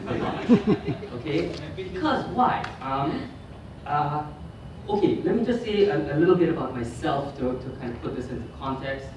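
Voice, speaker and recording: A middle-aged man from Guyana delivers a conference lecture, stopping often with ums and restarting his sentences. He is recorded in a very echoey hotel hall from some distance, and room noise stays clearly audible behind him. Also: mid-range voice. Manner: halting, many ums and restarts. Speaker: guest lecturer.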